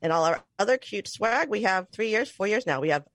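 A woman's voice: medium pitch, expressive, a little fast, no room sound. A middle-aged woman from New England hosting a podcast over a video call, chatty and laughing often. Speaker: podcast host. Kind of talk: casual podcast chat and ad read.

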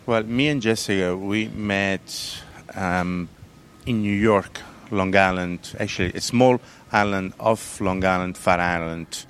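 A man's voice: sits low, steady tone, fairly bright tone, slight background noise. A man from southern Italy with an Italian accent, a chef telling his story in a casual interview, with many fillers and restarts. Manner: halting, many fillers and restarts, loose grammar.

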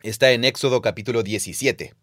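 Man, mid pitch, patient explainer, playful, dry close mic. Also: fairly quick, fairly bright tone, no room sound. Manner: explains step by step, playful quiz asides. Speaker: podcast co-host explaining the Bible. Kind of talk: casual teaching conversation.

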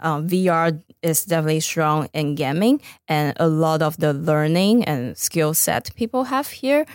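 Low-pitched Taiwanese-accented woman, close dry sound. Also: normal speed, darkish tone, dry recording. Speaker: startup founder, podcast guest. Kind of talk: conversational interview answers.

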